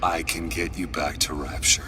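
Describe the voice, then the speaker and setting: An actor playing a secretive, urgent guide in a video game, giving terse commands. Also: male voice, very deep voice, level tone, moderate pace, big-room sound. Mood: urgent, instructing. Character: urgent, secretive male prompter feeding lines. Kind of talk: dramatic video game voice acting. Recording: very loud music behind.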